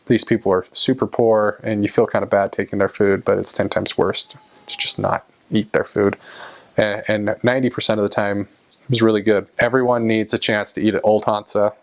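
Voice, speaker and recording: A young man with a fairly low voice chats casually to camera in a relaxed, rambling way. He keeps stopping to restart his sentences and drops plenty of "uh"s and "like"s as he goes. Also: moderate pace. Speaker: vlogger recounting time abroad.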